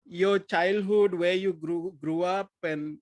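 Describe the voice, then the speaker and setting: A middle-aged man hosting a podcast from home, asking questions in a halting way with many fillers, speaking into a desk microphone on a video call. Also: sits high, fairly even intonation, dry recording. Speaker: podcast host. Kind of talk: casual video-call interview. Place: home room with posters behind.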